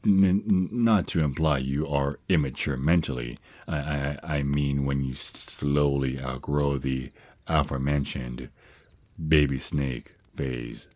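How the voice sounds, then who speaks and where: A man's voice: a bass voice, steady tone, slow, a little mellow, dry recording, exaggerated voice. A man voicing an affectionate, protective vampire naga boyfriend in an intimate ASMR roleplay, mentoring his partner with slightly formal wording and small stammers. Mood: instructive, slightly flustered. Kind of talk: ASMR roleplay, intimate one-sided scripted dialogue.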